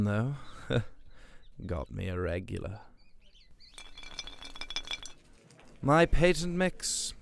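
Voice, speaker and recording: A slow-talking villain of radio drama. He is a greedy backstreet weapons dealer, sly and theatrical, who drags out his threats and sales talk alike. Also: fairly low pitch, full character voice. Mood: sly, leering. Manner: sly, theatrical, mangles plurals.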